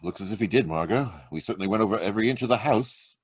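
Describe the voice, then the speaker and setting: Confident hero detective, played by a man, lower register, smooth, dry close sound. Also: lively intonation, very fast, no echo. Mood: determined. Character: confident male hero detective with a secret identity. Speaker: radio drama actor. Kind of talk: old-time radio drama reading. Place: home, over a video call.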